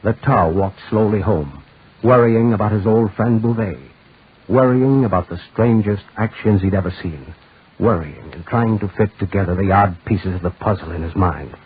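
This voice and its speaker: A man's voice: lowish voice, moderate pace, breathy edge. A man narrating grave, dramatic horror in 1940s radio style with emphatic repetition. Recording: muffled scratchy 1940s radio recording.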